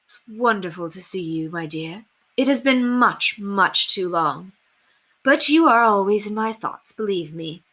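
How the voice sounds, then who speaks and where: A woman reads as a kind but firm headmistress from a storybook. She is gracious and proper, with measured phrases that rise and fall expressively in a bright tone. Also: medium pitch, expressive. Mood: tender.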